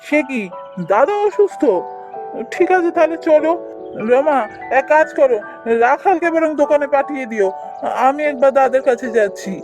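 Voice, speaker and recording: A man voicing a kindly, emotional village brother in a fairy-tale cartoon, expressive and melodramatic. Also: extremely high pitch, moderate pace, pauses often, over music. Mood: surprised, concerned.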